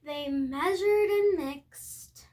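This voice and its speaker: A young girl hosting a video, reading a storybook aloud haltingly, repeating and correcting words, with casual asides to viewers. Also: high-pitched, darkish tone. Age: child.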